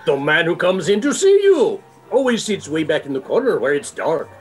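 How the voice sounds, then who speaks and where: A man playing a courteous, flattering nightclub regular in an amateur radio play. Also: a little high, normal speed, faint music behind. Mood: gentle, curious. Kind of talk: hobby radio play acting.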